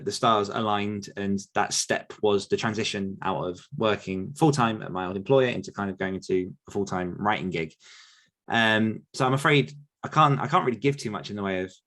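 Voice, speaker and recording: A man's voice: on the low side, a bit bright. A man with an English accent answering listener questions in a casual, rambling podcast chat, with frequent fillers and restarts.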